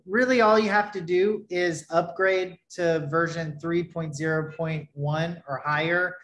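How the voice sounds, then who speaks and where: A man in his thirties giving a relaxed screen-share tutorial on his product, talking loosely with many fillers and restarts from a webcam at his desk. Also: on the high side, measured pace, reverberant. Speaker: developer presenting his product. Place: small home room, indoors.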